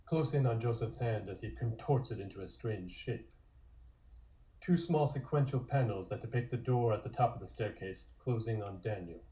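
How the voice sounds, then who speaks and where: A man's voice: fairly low pitch, normal speed, dark tone, rough recording. A man narrating scripted scenes in the present tense for a comic table read.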